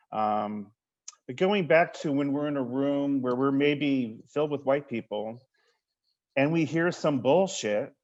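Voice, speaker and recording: A man makes his case on a panel discussion with insistent, repeated phrasing. His voice sits at a middling pitch. It comes through a slightly dull, muffled video-call line. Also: darkish tone. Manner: emphatic, repeats phrases for force.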